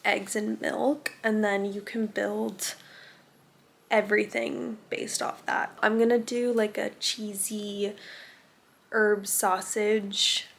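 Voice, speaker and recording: A young woman chatting casually to camera in her vlog, a little raspy from a cold and saying "like" a lot. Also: mid-range voice, flat delivery, takes it slowly. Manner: chatty, says "like" often. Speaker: lifestyle vlogger.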